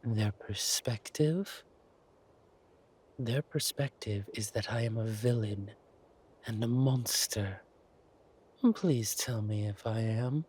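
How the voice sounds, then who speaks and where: Man playing a slow-speaking, menacing villain king. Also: fairly low pitch, darkish tone, slightly rough. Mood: threatening. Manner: slow deliberate taunting, drawn-out pauses. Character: menacing, possessive villain king, silkily mocking. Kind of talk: audio roleplay villain acting.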